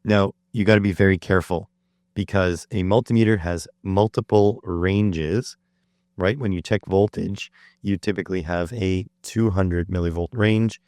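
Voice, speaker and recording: A middle-aged man with a low, smooth voice that is slightly hoarse today hosts a solo podcast. He explains things in a rambling way, full of uh and um, and he sounds close and dry with no room echo. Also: steady tone, normal speed, a little mellow. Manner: rambling, with frequent uh and um restarts. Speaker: podcast host. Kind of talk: solo educational podcast talk.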